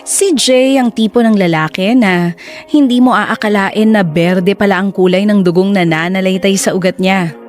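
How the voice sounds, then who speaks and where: A woman's voice: medium pitch, moderate pace, darkish tone, soft background music, close to an everyday voice. A woman narrating a confessional love story in the first person for a radio drama, in a reflective manner. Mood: wistful, reflective.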